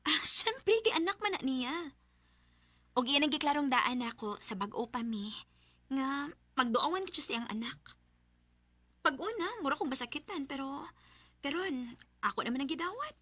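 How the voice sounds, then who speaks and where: A trusting, patient young-sounding girlfriend in a Cebuano radio drama. Her voice sits very high and rings brightly. She speaks with earnest, devoted feeling. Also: animated, normal speed, long pauses, no room sound. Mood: defensive, trusting. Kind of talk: Filipino radio drama acting.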